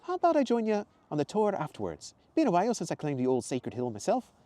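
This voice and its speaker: A man voicing a bubbly, eccentric woman shopkeeper in her thirties, loud and gushing. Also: high-pitched, wildly swooping pitch, fast talker, no echo, pitched above the performer's own voice.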